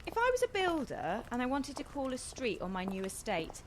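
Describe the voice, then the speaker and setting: A woman's voice: sits high, huge pitch swings, fairly quick, noisy background. A woman playing a friendly, inquisitive woman, droll and easygoing, in a naturalistic radio play. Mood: friendly, curious.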